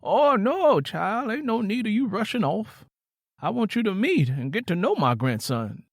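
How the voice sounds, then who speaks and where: A man's voice: sits high, sing-song, normal speed, dry recording, clean studio sound, voice pushed up, heavily acted. A man voicing an elderly, welcoming grandmother in folksy Southern American dialect for an audio story. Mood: hospitable.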